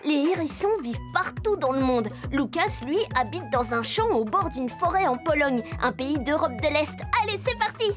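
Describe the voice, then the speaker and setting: A woman's voice: high voice, animated, normal speed, few pauses, music in the background. A woman voicing a cheerful, curious young girl explorer in a children's cartoon, bubbly and talking straight to young viewers. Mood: excited.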